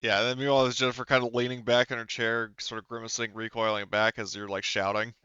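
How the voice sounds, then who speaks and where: A man's voice: mid-range voice, little pitch movement, quick pace, pushed and bright, smooth, no echo, highs cut off. A man narrating a tabletop roleplaying game as game master, improvising in a hesitant, rambling way full of filler words.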